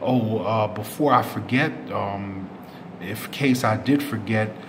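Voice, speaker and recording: Low-voiced man rambling over background noise. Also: slow pace. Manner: rambling, repeatedly correcting himself with approximations. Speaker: host of a community news channel. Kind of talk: unscripted news commentary to subscribers. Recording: clear background noise, ambient room sound.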